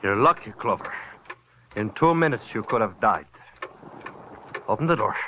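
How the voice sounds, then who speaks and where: A man's voice: fairly low pitch, animated, moderate pace, noisy background, close to an everyday voice. An actor playing a menacing, mocking Greek-accented gunman in a 1940s radio crime drama, speaking broken English. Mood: gloating menace. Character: menacing Greek gunman, gloating shooting-gallery owner. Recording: dull lo-fi old radio recording.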